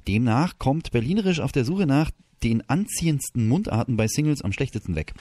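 A man's voice: medium pitch, expressive, fairly quick, mellow, no echo. A man hosting a radio show, bantering casually with his co-host and reading out news items with teasing asides.

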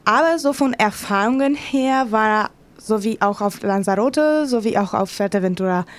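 A woman's voice: mid-range voice, normal speed. A woman giving an unscripted, enthusiastic account as an interview guest, searching for words with slightly irregular grammar. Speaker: interviewed contributor.

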